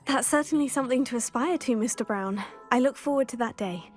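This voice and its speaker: A woman plays a teenage student from a fantasy game. Her fairly high voice is polite, composed and earnest, with faint music behind it. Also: fairly quick, close to an everyday voice. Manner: courteous, earnest. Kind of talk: video game character acting.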